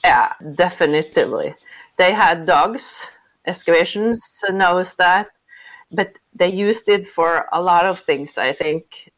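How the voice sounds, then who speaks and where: A woman with a Norwegian accent talks slowly and carefully, searching for words in English. Her voice sits a little low and comes through a dull, lo-fi remote line. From Norway. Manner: slow, careful, hesitant. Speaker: guest, dog breeder. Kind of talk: interview answers.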